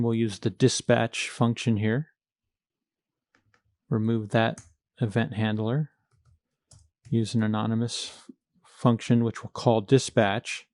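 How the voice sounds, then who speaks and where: A man's voice: on the low side, a little mellow, no room sound. Man giving a dry-recorded coding walkthrough. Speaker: coding tutorial instructor. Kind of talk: screencast coding walkthrough, explaining step by step.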